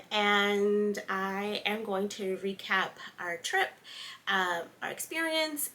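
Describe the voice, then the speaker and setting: A young woman from the East Coast with a noticeably congested voice hosts a knitting podcast from a seat at home. She rambles without notes, filling gaps with ums. Her tone is bright and crisp at a middle pitch. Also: slight background noise. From East Coast of the United States. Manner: rambling, unscripted, many ums. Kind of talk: casual sit-down chat. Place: home, seated indoors.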